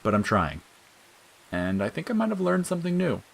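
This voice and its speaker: A man voicing a patient, earnest young boy in an audio fiction, speaking plainly and gently. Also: on the high side, huge pitch swings, normal speed, creaky, pitched above the performer's own voice, heavily acted, poor audio. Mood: earnest, gentle. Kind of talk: dramatic audiobook character acting.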